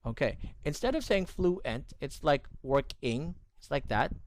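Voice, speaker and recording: A man's voice: a little high, sing-song, a little slow. A man teaching English in an online lesson, correcting pronunciation and praising his student often. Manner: encouraging, corrective, repeats praise.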